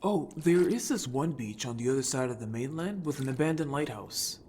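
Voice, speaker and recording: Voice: medium pitch, expressive, normal speed, darkish tone, slight background noise, natural voice. An actor playing an upbeat, bold young merfolk scout in an audio story, chatty, casual and playfully defensive. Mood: casual, explaining. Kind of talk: audio story character acting.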